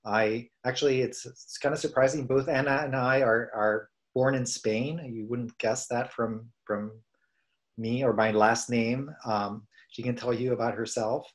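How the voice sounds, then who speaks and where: A middle-aged man with an American accent, a scientist giving an informal, halting, conversational lecture over a video call. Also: medium pitch, steady tone, rough recording. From United States, East Coast. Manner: halting, conversational, self-correcting. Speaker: professor and research scientist presenting.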